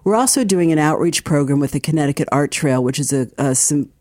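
A woman's voice: low voice, expressive, brisk, mellow, no echo, studio quality. A woman hosting a radio program in a conversational, upbeat and promotional way. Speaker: radio host, library community partnership director.

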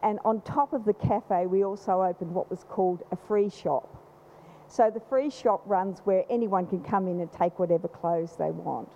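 A woman with a loud voice, speaking from the audience at a panel event, rambling with many restarts. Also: medium pitch, moderate pace, slight background noise. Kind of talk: unscripted comment from the floor. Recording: slightly dull live event recording.

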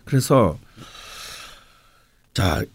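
A man's voice: on the low side, very animated, extremely slow, slightly rough, dry recording. A man explaining a fortune reading in a conversational radio talk, with an explanatory, emphatic manner. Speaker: fortune-telling (myeongri) commentator.

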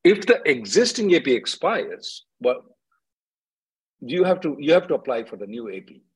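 A man's voice: mid-range voice, sing-song, moderate pace, slightly rough, rough recording. A man hosting a call-in as an attorney, reading out questions and answering informally in a blunt, emphatic way, often repeating himself.

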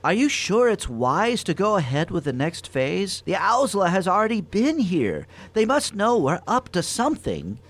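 A man's voice: high voice, big pitch swings, moderate pace, few pauses, heavily acted. An actor playing a nervous, deferential robot rabbit henchman in a comic radio play, hesitant and doubtful. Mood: uneasy, meek.

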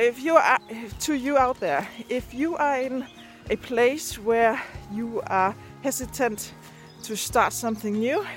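A native Danish-speaking woman with a Danish accent, chatting casually in a vlog and hesitating as she searches for words. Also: fairly high pitch. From Denmark. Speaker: video and podcast creator. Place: outdoors, on a walk. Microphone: handheld device at arm's length.

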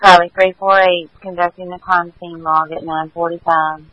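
A man working as a police dispatcher, speaking in brisk, formulaic radio procedure with a businesslike manner. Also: sits high, little pitch movement, dull audio.

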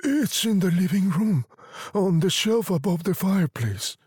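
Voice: mid-range voice, lively intonation, measured pace, a little mellow, slightly rough, no echo, clean studio sound, voice pushed up. A storyteller voicing a frightened but tender old husband, protesting in a shaky voice in a dramatic story reading. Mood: puzzled.

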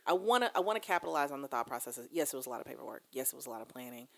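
A woman's voice: rumbling low, lively intonation, rapid-fire, vocal fry, a bit bright. A woman hosting a casual conversation, speaking emphatically and building points through repeated phrases. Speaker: interview host.

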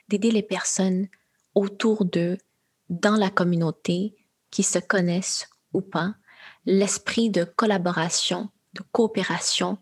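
A young woman, answering interview questions in a thoughtful, measured way with frequent pauses and fillers. Her is Khmer. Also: on the low side, breathy edge. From Quebec, Canada. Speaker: guest, AI entrepreneur. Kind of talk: interview conversation.

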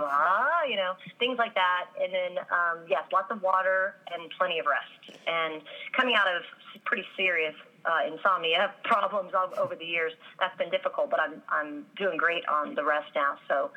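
A low-pitched woman talks through her answers with a slight rasp, pausing on fillers and restarting her thoughts. The sound is dull and lo-fi, as if over a remote line. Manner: slightly raspy, many ums and restarts. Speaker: voice actress guest. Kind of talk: interview answers.

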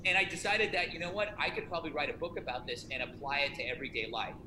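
A middle-aged man talks casually and self-deprecatingly, restarting his sentences often. His voice sits a little high. The recording is echoey, with a clear noise bed underneath. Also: expressive, fast talker. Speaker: author promoting a self-help book. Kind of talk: casual conversation. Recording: echoey room with noticeable noise.

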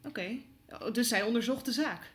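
Young newsroom reporter, played by an actress: somewhat high-pitched, eager, polite, hesitant yet probing, radio drama. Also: female voice, brisk, fairly bright tone, natural voice. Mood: probing, puzzled. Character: eager, inquisitive young woman reporter, hesitant but persistent. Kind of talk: naturalistic audio drama dialogue.

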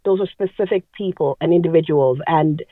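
Woman, deep voice, earnest, correcting tone, casual podcast chat, tinny phone-like line. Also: a little fast, a crowd in the background.

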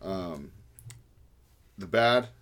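A man with a very low voice co-hosts a casual sports podcast. He talks in a laid-back, measured way as he breaks down the week's games. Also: lively intonation, fairly bright tone. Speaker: podcast co-host.

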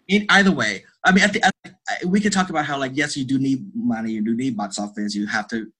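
A man with a bright, forward voice gives conversational interview answers. He is earnest and emphatic, often repeating a phrase to drive it home. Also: medium pitch, fast talker, slightly rough. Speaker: guest, theatre director and artist.

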